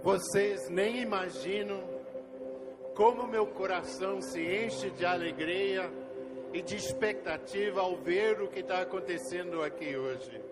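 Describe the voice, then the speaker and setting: An older man, a missionary whose first language is not Portuguese, telling his story to a congregation in a slow, storytelling way. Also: high-pitched. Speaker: missionary leader. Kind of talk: testimony sermon to a congregation. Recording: long hall reverb with faint music.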